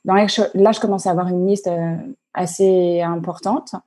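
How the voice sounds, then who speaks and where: A woman coach answering interview questions on a video call, halting and full of fillers and restarts. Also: fairly low pitch, fairly even intonation, dark tone, breathy edge. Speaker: online coach and entrepreneur.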